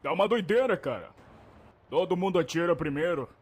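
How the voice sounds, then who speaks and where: A man's voice: sits high, lively intonation, brisk, slightly rough. A man voicing an angry, bossy older brother who leads a street gang in a fan-dubbed game, confrontational and slangy. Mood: grim. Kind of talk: amateur game fan dub.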